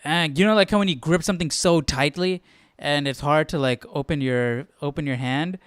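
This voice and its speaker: A man's voice: on the high side, normal speed, clear, resonant tone, no room sound, studio quality. A man hosting a Bible study in an informal way, thinking aloud and often checking in with the listener. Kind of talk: casual live study commentary.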